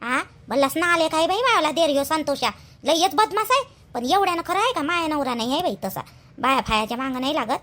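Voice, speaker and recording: A woman's voice: sits high, animated, fast, a bit bright. A woman playing an aggrieved, talkative village daughter-in-law in a cartoon, chatty and emphatic, with a Vidarbha Marathi accent. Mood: aggrieved gossiping. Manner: chatty, complaining, emphatic with repeated phrases. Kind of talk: colloquial cartoon gossip dialogue.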